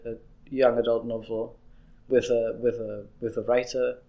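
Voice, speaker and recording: A man's voice: medium pitch, little pitch movement, normal speed, dull audio. A young man, an illustrator, chatting in an interview, rambling with frequent restarts. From Austria. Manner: rambling, restarts often, laughs easily. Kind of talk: casual interview chat.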